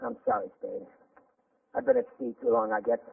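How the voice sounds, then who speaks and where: A hard-drinking, hot-tempered sailor in a 1940s radio drama. His slangy tough-guy voice is husky and lively in pitch, with a blunt delivery. The sound is a boxy, narrow vintage broadcast. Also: a little fast, distant mic, heavily acted. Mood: sheepish. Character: rough, hot-tempered, hard-drinking male sailor, belligerent yet contrite. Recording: muffled, narrow-band old radio recording.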